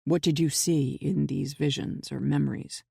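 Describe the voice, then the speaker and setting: A woman voicing a steady, guarded adult man who speaks in terse, measured lines in an audiobook. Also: sits low, creaky, breathy edge, no echo, clean studio sound, pitched below the performer's own voice, full character voice. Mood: questioning. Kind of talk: audiobook character reading.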